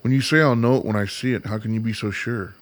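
A man's voice: on the low side, fast talker, lightly acted. An actor playing a skeptical, sarcastic grown son on the run in a radio play, bickering as he talks. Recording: long hall reverb.

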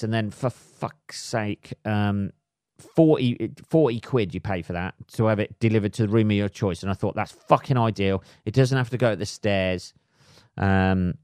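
A man, a little hoarse, ranting comically and swearing constantly in a rambling solo podcast monologue. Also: fairly low pitch, a little mellow, no room sound, clean studio sound. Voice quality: heavy swearing, rambling with many fillers. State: slightly hoarse. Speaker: podcast host. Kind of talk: solo comedic rant.

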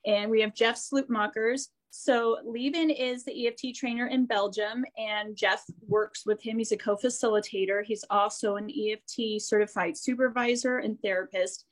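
A woman's voice: a little high, fairly even intonation, moderate pace, hardly any pauses, low-quality recording. An American woman hosting an interview show, chatty and upbeat, laughing easily as she introduces her guests. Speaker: host and therapist.

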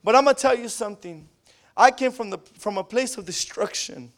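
A man with a Spanish accent preaching a sermon, tacking an amen onto nearly every phrase and rising into loud fervent bursts. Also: very high-pitched, brisk. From Southern California. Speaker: pastor.

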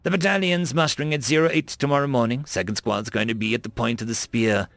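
A man voicing a confident female Marine sergeant who gives brisk, firm orders in an audiobook story. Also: medium pitch, fairly even intonation, a bit bright, no room sound, lightly acted. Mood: resolute. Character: commanding, confident female Marine sergeant briefing her squad.

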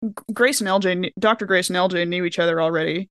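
A woman's voice: fairly low pitch, quick pace, fairly bright tone, no room sound. Casual chatty woman, close dry sound. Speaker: podcast panelist and tabletop player. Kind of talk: casual chat.

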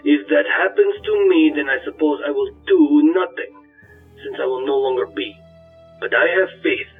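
A man's voice: on the high side, normal speed, husky. A man voicing an earnest, devout and self-important robotic ghost companion, speaking in a solemn, preachy way through a radio-like filter. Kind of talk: audio drama dialogue. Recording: muffled radio-like sound, distant, music.

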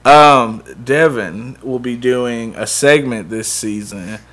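A man co-hosting a casual podcast chat, telling stories with animated bursts and frequent laughter. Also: medium pitch, takes it slowly. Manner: animated storytelling, laughs often. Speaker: podcast co-host.

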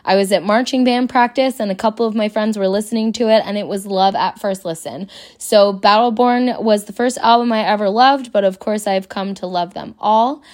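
A woman's voice: mid-range voice, normal speed, few pauses, a little mellow. A woman in her late twenties from New Jersey, giving a personal testimonial as a fan in a voice message. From United States, New Jersey. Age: late 20s.

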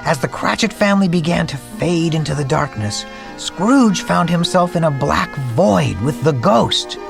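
Gravelly, theatrical storyteller creature. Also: male voice, fairly high pitch, lively intonation, a little slow. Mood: dramatic, hushed. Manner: theatrical, dramatic, patient narrator. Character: earnest male storyteller creature, proud of his art. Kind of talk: scripted storybook narration in radio play. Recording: music under the voice.

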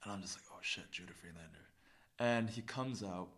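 Male podcast co-host, slightly deep voice, excitable and slangy, casual anecdote style with lots of repetition. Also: fairly quick. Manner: excitable, slangy, repeats himself. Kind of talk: casual chat, animated storytelling.

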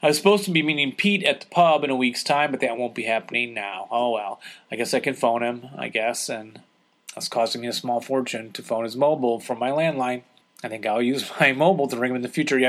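A man's voice: medium pitch, fairly quick, a bit bright. A man hosting a podcast in a casual, conversational way, chatting and reading out listener letters with some hesitations. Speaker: podcast host.

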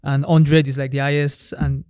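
A man's voice: mid-range voice, little pitch movement, moderate pace, darkish tone, clear, resonant tone, no room sound, clean studio sound. A young man chatting about politics, emphatic and repeating words as he builds a point. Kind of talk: casual political chat.

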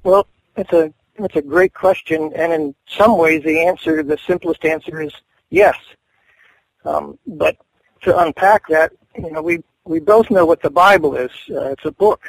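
A middle-aged male seminary professor answering interview questions in a measured, thoughtful way, pausing often with fillers. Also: on the high side, dry recording, poor audio.

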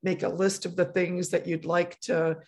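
A woman's voice: lowish voice, flat delivery, normal speed, a little mellow. A middle-aged woman hosting a podcast, chatting casually with many fillers and restarts. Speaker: podcast host. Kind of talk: casual conversation.

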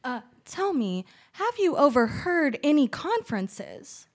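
A woman's voice: sits high, big pitch swings, slow pace, exaggerated voice. A woman playing a suspicious, officious army general in a comedy radio play, clipped and interrogating.

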